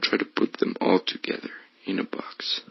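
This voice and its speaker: A man leading a guided meditation in a hushed, breathy voice that often slips toward a whisper. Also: normal speed, no room sound, lo-fi sound. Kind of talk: guided meditation, soothing instruction.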